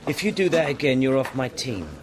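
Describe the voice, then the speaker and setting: An actor playing a stern British senior detective in a radio drama, with a clipped, commanding delivery. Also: male voice, mid-range voice, animated, a little fast, natural voice. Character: stern, authoritative male senior police detective briefing his team. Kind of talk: BBC radio drama acting.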